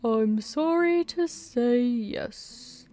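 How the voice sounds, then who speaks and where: A woman voicing a smiling, apologetic young male student in a story, speaking in a measured way. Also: on the high side, lively intonation, mellow, soft background music.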